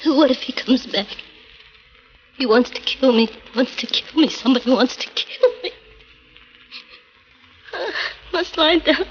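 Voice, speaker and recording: A woman's voice: high voice, expressive, a little slow, long pauses. An actress playing a frightened, frantic married woman in peril, in breathless 1940s radio melodrama style. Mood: terrified, muttering. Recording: muffled, noisy 1940s radio recording.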